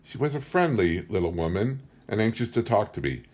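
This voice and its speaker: A man's voice: on the low side, lively intonation, brisk, a little mellow. A man reading a book aloud as scripted story narration. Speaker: audiobook reader.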